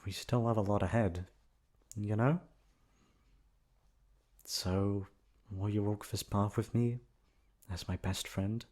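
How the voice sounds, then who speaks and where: A man voicing a gentle, earnest, timid teenage prince in a bedtime story, speaking softly and hesitantly. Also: lowish voice, steady tone, slow, pauses often. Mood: hopeful, reassuring.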